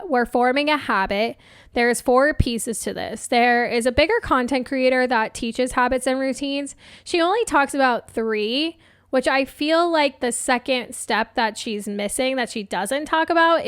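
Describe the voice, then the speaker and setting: A young adult woman hosting a solo coaching podcast, chatty and upbeat with lots of fillers, her voice a bit hoarse. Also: a little high, fairly bright tone, dry recording, clean studio sound. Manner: chatty, upbeat, laughs often, many fillers. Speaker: podcast host and life coach. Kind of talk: casual solo podcast talk.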